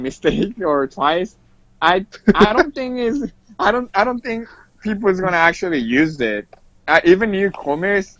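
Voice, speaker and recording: A man's voice: fairly high pitch, fairly bright tone, no echo, low-quality recording. A man who speaks English as a second language, giving casual answers as a guest player in a gaming interview. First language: not English. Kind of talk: casual interview answers about a fighting game.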